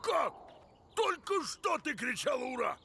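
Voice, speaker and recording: A man voicing a huge, boisterous, excitable alien monster archaeologist in an animated film, very theatrical in delivery. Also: a little slow, vocal fry, exaggerated voice. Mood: indignant. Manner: theatrical, swinging from booming exclamations to conspiratorial murmurs.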